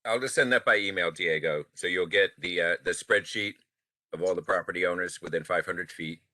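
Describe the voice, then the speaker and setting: A very low-voiced man with a sharp edge to his tone gives brisk, practical instructions to an applicant. His voice sounds close and dry, with no room around it. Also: no echo. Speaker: town staff member. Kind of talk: giving procedural instructions.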